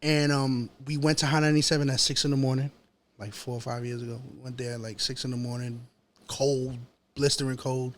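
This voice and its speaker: A man's voice: medium pitch, level tone, brisk. A man from New York with a New York accent, a rapper telling stories casually and slangily as a podcast guest. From New York City. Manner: slangy, repetitive, peppered with "you know" and "yo". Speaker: hip-hop artist, podcast guest. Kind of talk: casual storytelling in an interview.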